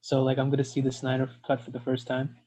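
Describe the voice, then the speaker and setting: A man's voice: mid-range voice, monotone, fast, mellow, dry recording. A man chatting casually as a panelist on an online group call. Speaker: discussion panelist. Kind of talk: casual chat. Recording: dull lo-fi call audio.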